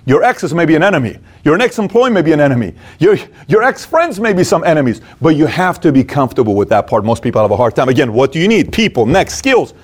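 Man, somewhat high-pitched voice, motivational coaching talk, punchy short phrases, rhetorical questions, emphatic delivery. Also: sing-song, quick pace.

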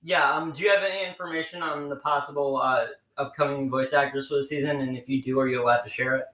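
A man's voice: medium pitch, steady tone, quick pace, a bit bright. A man hosting a podcast interview, asking questions hesitantly with fillers. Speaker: podcast host. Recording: echoey room.

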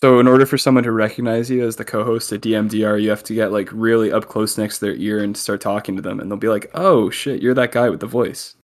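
A man's voice: lowish voice, fairly even intonation, fast talker, few pauses. A young man, a guest on a podcast, joking and telling stories in casual chat while recording remotely over a call. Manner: chatty storyteller, joking.

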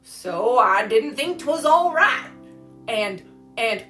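A woman voicing a hungry, sturdy young boy in a storybook, indignant and plaintive, with drawn-out, halting delivery. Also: on the high side, expressive, sharp tone, faint music behind, exaggerated voice. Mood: halting, earnest.

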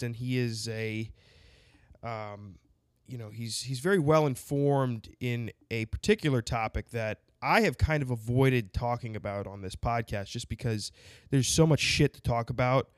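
Close on a dry, dead podcast mic, a man with a cold hosts his show in a rambling, sarcastic way. He keeps circling back with fillers and restarts, his pitch sitting at a middling level. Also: clear, resonant tone, no echo. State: has a cold with a sinus infection. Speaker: podcast host. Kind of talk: casual podcast interview.

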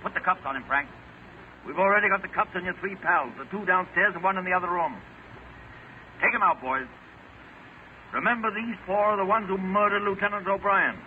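An actor playing a tough American government counterspy, barking orders in a 1940s radio drama. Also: male voice, high-pitched, fairly quick, pauses often, natural voice. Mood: stern, commanding. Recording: tinny, noisy old radio recording.

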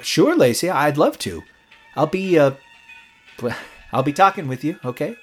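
A man's voice: fairly high pitch, sing-song, a little slow, faint music behind. A young man playing a nervous, eager rookie late-night rock DJ with an awkward, jokey manner in a horror audio drama. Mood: affable. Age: young adult.

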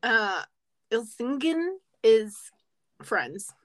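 A woman's voice: a little high, sing-song, drawn-out words. A woman co-hosting a podcast in casual chat, playful and sarcastic, laughing often. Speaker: podcast co-host.

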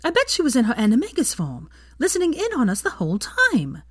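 A woman puts on an outspoken young witch from a fantasy audiobook. She is indignant and emphatic, with a raised, very animated pitch in a dry, close recording. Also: fairly quick, no echo, full character voice. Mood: outraged. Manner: emphatic, pointed.

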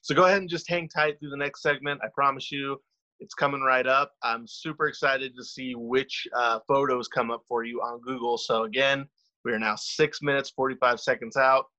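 A man's voice: medium pitch, steady tone, moderate pace, pushed and bright, muffled recording. A man co-hosting a casual sports podcast, chatty and self-deprecating, rambling with fillers. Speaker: podcast co-host. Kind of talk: casual chat.

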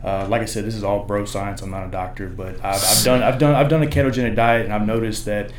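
A man with a deep baritone speaks in a loose, meandering way. He explains like a chatty podcast guest, backing off his own claims and circling around to his point. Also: steady tone, quick pace, noisy background. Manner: rambling, hedging explainer. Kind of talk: casual chat.

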